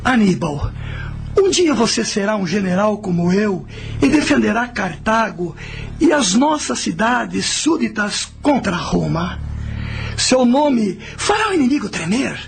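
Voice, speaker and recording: A man's voice: high-pitched, sing-song, a little slow, gravelly, exaggerated voice. A man playing a stern, proud general and father in a radio drama, speaking in a solemn, commanding way. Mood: proud, exhorting. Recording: faint music under the voice.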